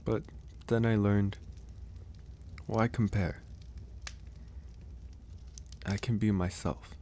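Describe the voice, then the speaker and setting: Gentle male werewolf acted by a man, ASMR roleplay. Very low voice, thoughtful and reassuring, noticeable background noise. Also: fairly even intonation, drawn-out words. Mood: sincere, reassuring. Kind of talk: intimate ASMR audio roleplay.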